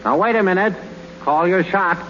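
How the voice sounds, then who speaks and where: An actor playing an irritable, sarcastic American ballplayer in a 1930s radio comedy. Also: male voice, high-pitched, moderate pace, a bit bright. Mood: irritated. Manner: exasperated, wisecracking. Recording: dull, faintly noisy 1930s radio recording.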